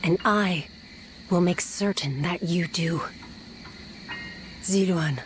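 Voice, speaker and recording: A low-voiced, slow, solemn guardian woman. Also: background noise. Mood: solemn, caring. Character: solemn, protective woman sworn to eliminate threats to peace. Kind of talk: video game dub acting.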